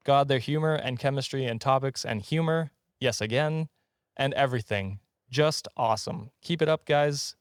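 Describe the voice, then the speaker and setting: A man hosting a casual comedy podcast in a jokey, slightly halting way. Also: medium pitch, no echo, studio quality. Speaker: podcast host.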